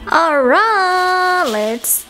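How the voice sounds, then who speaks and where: A woman's voice: very high-pitched, animated, extremely slow, music in the background. A woman from Indonesia, chatting casually to viewers in an informal, rambling, giggly vlog style. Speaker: vlogger.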